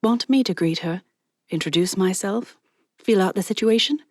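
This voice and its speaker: A woman's voice: medium pitch, normal speed, dry recording, clean studio sound, close to an everyday voice. A woman voicing Ezra, a confident, charming friend who chats breezily and slips in French words. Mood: breezy. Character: bold, chatty woman friend, nosy and charming.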